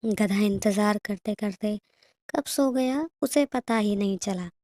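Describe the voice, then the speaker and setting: A woman's voice: mid-range voice, takes it slowly, dark tone, no room sound. A woman reading scripted narration as the storyteller of a cartoon tale.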